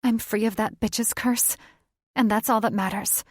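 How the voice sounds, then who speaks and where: A woman reading a story aloud, voicing a calm, composed young witch. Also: a little high, unhurried, no echo, clean studio sound, pitched above the performer's own voice. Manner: bright and composed.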